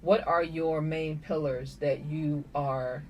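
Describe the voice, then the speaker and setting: A woman in her thirties interviewing a candidate, chatty, with quick affirmations. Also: sits low, steady tone, darkish tone, recorded from a distance, a little hiss or hum. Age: 30s. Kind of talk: casual interview.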